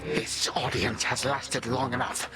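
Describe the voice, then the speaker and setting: A man's voice: mid-range voice, steady tone, measured pace, over loud music, close to an everyday voice. An actor playing an ancient, suave Titan grandfather in a video game, courtly, condescending and menacing in his delivery. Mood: stern menace. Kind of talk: theatrical video game villain acting.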